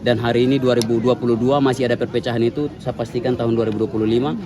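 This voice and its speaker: Fast-talking Papuan man amid a crowd. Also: medium pitch, steady tone. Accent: Papuan Indonesian. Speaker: newly installed local chairman. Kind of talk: public statement of pledges. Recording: outdoor recording with crowd noise.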